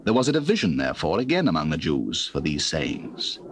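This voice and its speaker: A man's voice: extremely low pitch, huge pitch swings, fairly quick. A man narrating a dramatized Bible in a formal storytelling manner. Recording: noisy old cassette.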